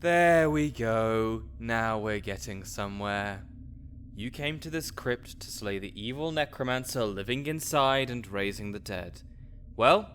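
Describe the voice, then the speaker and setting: A man's voice: mid-range voice, fairly bright tone, slight background noise. A man playing a grouchy, fussy necromancer researcher in an audio roleplay, sarcastic and wordy as he speaks to the listener.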